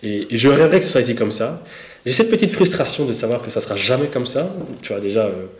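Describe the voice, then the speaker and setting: A man in his thirties with a Belgian French accent, talking in a lively, animated way about faith and forgiveness. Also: medium pitch, fast talker. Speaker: co-host and street interviewer. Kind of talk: casual faith discussion. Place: indoor studio set, echoey room. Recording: roomy echo.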